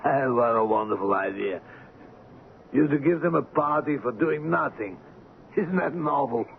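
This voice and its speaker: Imperious trading agent, tinny old radio. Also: male voice, mid-range voice, expressive, measured pace, vocal fry. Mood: eager, sardonic. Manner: imperious, scornful, pulling rank. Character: pompous, callous ivory trading station agent, a man. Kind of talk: 1970s radio drama acting. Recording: noisy, tinny 1970s radio broadcast.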